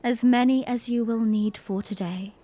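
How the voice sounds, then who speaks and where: A woman's voice: fairly high pitch, steady tone, normal speed, dark tone, lightly acted. A woman playing a young, playful, romantic princess who tells her story in a genteel, tender way in a fan audio drama. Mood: playful, warm.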